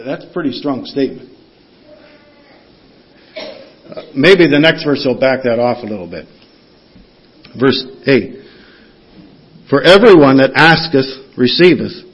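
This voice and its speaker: A man preaching a sermon, reading scripture aloud and putting questions to the congregation in an earnest teaching manner. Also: medium pitch. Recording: dull lo-fi sound.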